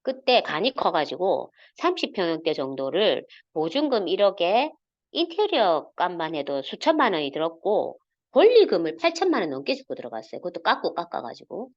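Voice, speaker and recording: A woman in her forties with a Gyeongsang accent, giving a halting, filler-heavy guest talk over a video call. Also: highs cut off. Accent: Gyeongsang Korean. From South Korea, Gyeongsang region. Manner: halting, many fillers, lively pitch swings. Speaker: guest speaker.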